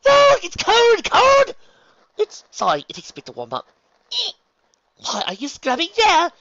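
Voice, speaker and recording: A man's voice: on the high side, huge pitch swings, fast, gravelly, sharp tone, husky, no echo, dull audio, voice pushed up, full character voice. A man voicing a flustered, indignant pony princess who blusters and yelps, in a storybook reading. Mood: panicked. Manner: blustering, yelping. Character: flustered indignant pony princess, high and shrieking when cornered.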